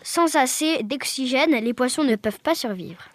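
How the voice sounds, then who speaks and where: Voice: high voice, expressive, moderate pace, fairly bright tone, dry recording, clean studio sound. A child reading a scripted radio presentation as a young presenter. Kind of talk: scripted radio quiz presentation, read aloud.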